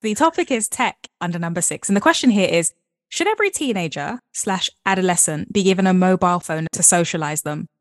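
A woman's voice: fairly low pitch, lively intonation, brisk, no room sound, clean studio sound. A woman hosting a podcast interview, upbeat and playful, reading her segment intros with ease. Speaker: podcast host.

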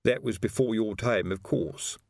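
A man's voice: fairly low pitch, unhurried, creaky, darkish tone, dry recording, studio quality. A man voicing a weary, authoritative veteran police sergeant in an audiobook. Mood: chatty. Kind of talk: audiobook character voice.

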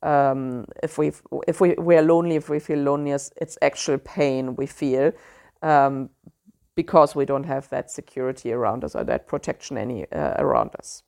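A woman with a German accent hosting a solo podcast, speaking thoughtfully and pausing often with fillers as she searches for words. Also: rumbling low, creaky, a little mellow. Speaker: podcast host.

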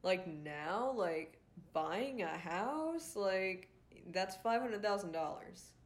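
A woman's voice: mid-range voice, animated, slow pace. A young woman from Rhode Island hosting her own podcast, ranting casually with a nasal, congested voice, full of fillers and swearing. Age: young adult. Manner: frustrated, swears often, many fillers. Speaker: podcast host.

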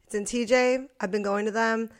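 A woman's voice: fairly high pitch, fairly even intonation, moderate pace. A woman in her late twenties co-hosting a podcast, chatting casually and laughing often. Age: 20s. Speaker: podcast co-host. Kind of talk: casual chat.

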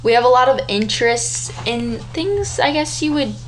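A woman's voice: fairly high pitch, lively intonation, normal speed, slight background noise, low-quality recording. A young woman co-hosting a casual podcast as the older sister, chatty and rambling, laughing often. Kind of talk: casual chat.

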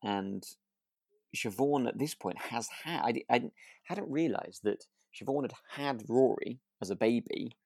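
A man's voice: mid-range voice, a little slow, darkish tone, dry recording, clean studio sound. A man chatting casually as a podcast co-host, hesitant and often correcting himself. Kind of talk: relaxed casual chat about a radio drama.

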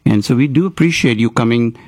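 A man's voice: medium pitch, fast, darkish tone, no room sound. A man hosts a community talk show, speaking earnestly and wandering through his points with frequent restarts. His voice sits in a middle range for a man, recorded dry and close with no room sound. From grew up in Houston. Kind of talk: interview.

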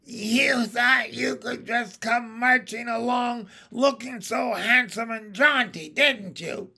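A man voicing a vengeful old witch hag in a storybook, shrill, with a slow, drawn-out, taunting delivery. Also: creaky, bright, forceful tone, slightly rough, heavily acted. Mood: scornful.

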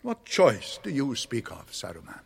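Husky, grave old wizard. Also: male voice, mid-range voice, huge pitch swings, full character voice. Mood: dry. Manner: measured, stern, dry. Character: grave, wise old wizard, steadfast and wry. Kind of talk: radio drama acting. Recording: reverberant chamber radio drama sound.

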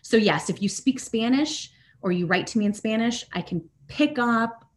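A woman in her thirties, a native Italian speaker raised partly in Germany, chatting casually as a podcast guest, animated and self-deprecating. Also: fairly high pitch, normal speed. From Germany and Italy. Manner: chatty, animated, self-deprecating, repeats words when restarting. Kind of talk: casual interview chat.

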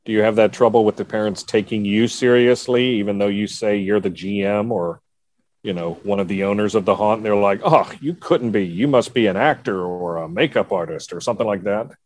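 A man with a slightly low voice hosts a relaxed talk show. He asks long, wandering questions in an easy conversational way. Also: fairly quick. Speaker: podcast host. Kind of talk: casual interview chat.

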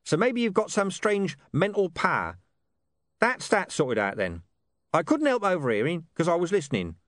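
A man's voice: high voice, wildly swooping pitch, moderate pace, no echo, studio quality, voice pushed up. A man voicing a talking street dog from an audiobook, streetwise, sardonic and mercenary, with a slangy, dismissive manner. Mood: sardonic, pushy.

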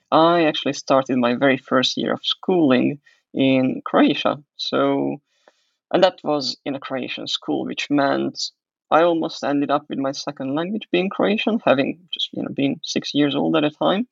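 Young man, interview guest, very gravelly, reflective, dry lo-fi sound. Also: medium pitch, no echo. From Hungary. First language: Hungarian. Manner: reflective, restarts and fillers as he recalls. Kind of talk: casual interview.

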